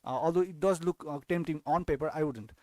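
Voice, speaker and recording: A man's voice: on the high side, quick pace, warm tone, no room sound, clean studio sound. A young man from Nepal hosting a casual fantasy football live-stream Q&A, often restarting sentences and adding tag questions. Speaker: fantasy football channel host.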